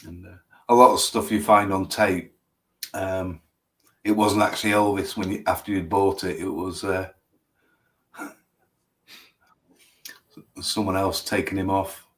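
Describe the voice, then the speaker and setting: A man co-hosting a livestream on a video call, hesitant, with lots of fillers and soft laughs. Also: low voice, flat delivery, measured pace, long pauses. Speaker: livestream co-host. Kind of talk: casual reminiscing chat.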